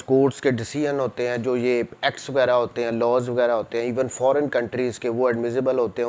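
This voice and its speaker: A man's voice: medium pitch, warm tone. A man with a Pakistani accent lecturing in a didactic way, reading formal text aloud and explaining it, switching between two languages. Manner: didactic, code-switches between Urdu and English. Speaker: law lecturer.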